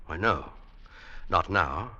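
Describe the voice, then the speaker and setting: A man's voice: low-pitched, expressive, takes it slowly, bright, forceful tone, highs cut off, exaggerated voice. An actor playing a world-weary, secretive widowed businessman, brooding and hesitant, in old-time radio drama style. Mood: melancholy, evasive.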